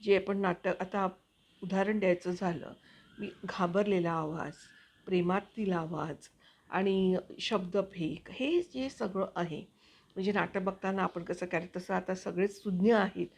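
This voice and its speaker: A woman hosting her own channel, chatting to viewers in a casual, explanatory way with hesitant pauses as she finds her words. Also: fairly low pitch, fairly even intonation, creaky, darkish tone. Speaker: actor and video channel host.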